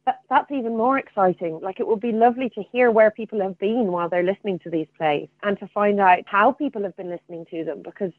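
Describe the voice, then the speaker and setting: A woman's voice: medium pitch, fast, few pauses, no echo. A woman speaking conversationally as an interview guest over a phone line. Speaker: interview guest, theatre artistic director. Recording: tinny narrow phone line, dry.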